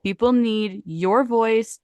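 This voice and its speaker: A woman's voice: a little high, slow, darkish tone, no room sound, highs cut off. A woman co-hosting in casual chat, talking in a chatty way, laughing easily and sometimes losing her train of thought. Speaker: co-host.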